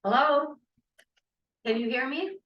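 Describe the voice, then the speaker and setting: A bossy, sardonic female student played by a woman with a fairly high voice, unflappable in a script read-through. The sound is roomy and distant from the microphone. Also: lively intonation, takes it slowly, dull audio. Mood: matter-of-fact. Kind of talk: informal script table read. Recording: echoey room, distant mic.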